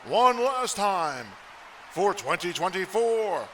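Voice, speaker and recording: A man's voice: sits high, big pitch swings, takes it slowly, pushed and bright, full character voice. A man playing a showy wrestling ring announcer, grandiose, drawing out his phrases in a noisy cartoon arena. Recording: noisy, with background ambience.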